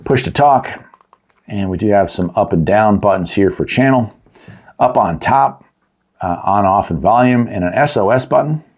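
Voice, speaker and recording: Low-voiced, folksy man reviewing gear. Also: lively intonation, normal speed. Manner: folksy, chatty, plain-spoken. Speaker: host of a product review channel. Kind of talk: casual unscripted product review.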